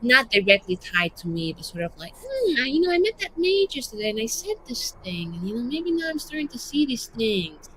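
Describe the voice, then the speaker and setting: A woman's voice: a little high, very animated, fairly quick, few pauses, a bit bright, slight background noise, low-quality recording. A woman improvising casual in-character dialogue for a tabletop game, with a playfully snarky, conversational manner. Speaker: player in a tabletop roleplaying game.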